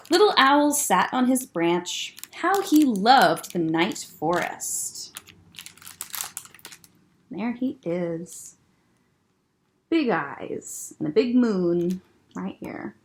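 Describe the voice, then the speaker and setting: A woman who is a children's librarian, reading a picture book aloud to children in a gentle, expressive way and pointing out the pictures. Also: mid-range voice, extremely slow, long pauses, a little hiss or hum.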